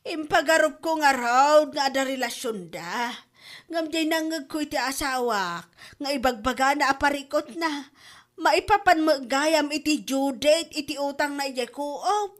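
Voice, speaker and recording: A woman's voice: high-pitched, normal speed, natural voice. A woman with an Ilocano accent playing a hot-tempered, nagging wife in a comedy drama, exclaiming and complaining. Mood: embarrassed, upset.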